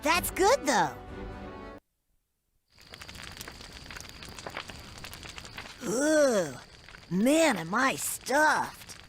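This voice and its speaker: A boyish anime game hero, fearless and guileless. The pitch is high and swings widely, at an unhurried pace, with music behind. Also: female voice, sing-song, heavily acted. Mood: curious, easygoing. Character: cheerful, naive, fearless young boy hero. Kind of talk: anime video game voice acting.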